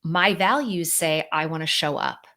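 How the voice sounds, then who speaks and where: A middle-aged woman explains psychology on a podcast in a lively, conversational way. Her voice sits somewhat low for a woman, and she peppers her talk with casual fillers. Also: measured pace. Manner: animated, conversational, many "like" and "you know" fillers. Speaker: psychologist and author, guest.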